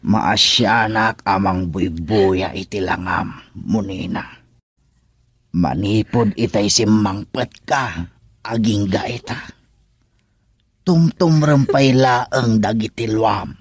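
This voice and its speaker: A man's voice: lowish voice, slow, husky, no echo. An actor playing an older father who gives sympathetic, consoling counsel in a radio drama. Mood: pitying.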